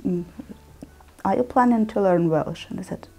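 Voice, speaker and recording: Mellow-voiced woman learner. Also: medium pitch, normal speed.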